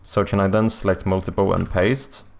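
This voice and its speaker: Gravelly Swedish man thinking aloud. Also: low voice, normal speed, faint music behind. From Sweden. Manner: thinks aloud, muttering while working; relaxed, swears casually. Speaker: streamer and game developer. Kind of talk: casual livestream chat.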